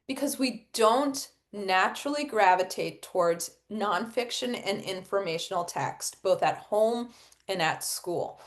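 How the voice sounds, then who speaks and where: A middle-aged woman presents an educational webinar in a fairly high voice. Her pitch moves in a lively, expressive way as she explains her points, often asking a question and then answering it. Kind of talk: instructional webinar presentation with acted read-aloud examples.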